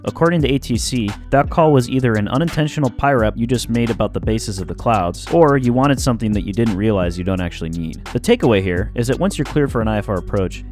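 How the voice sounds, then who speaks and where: A man with a fairly low voice narrates an instructional video from a script. His delivery is informal and jokey, full of slang. Soft music plays behind him. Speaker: aviation explainer video narrator. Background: background music.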